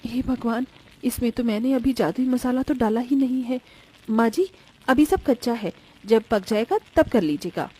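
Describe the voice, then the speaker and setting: Woman acting a bright, melodramatic cartoon household woman. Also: fairly high pitch, moderate pace, breathy edge, rough recording. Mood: flustered. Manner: expressive, melodramatic.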